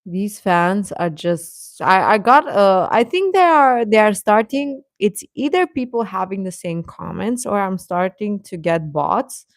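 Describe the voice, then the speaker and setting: A woman's voice: medium pitch, animated, no echo. Woman chatting casually in a close, dry recording. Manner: rambling, halting, often chuckling as she talks. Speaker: host of a K-pop fan channel. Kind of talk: casual livestream chat, replying to comments.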